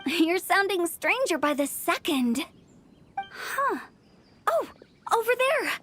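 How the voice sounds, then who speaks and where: A woman's voice: very high-pitched, very animated, slow, fairly bright tone, exaggerated voice. A woman playing a playful, quirky, inquisitive young girl in an anime video game, theatrical and teasing in delivery. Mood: puzzled, probing.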